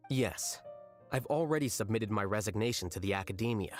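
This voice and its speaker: An actor playing an aloof, blunt young male scholar in a video game, deadpan, measured and articulate. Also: fairly low pitch, close to an everyday voice. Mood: calm, detached. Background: music.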